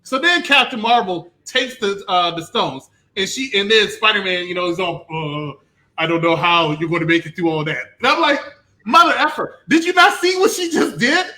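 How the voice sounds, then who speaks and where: A man hosting an animated review show, ranting with theatrical emphasis and repeating himself for effect. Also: sits high, moderate pace, rough recording. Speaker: host.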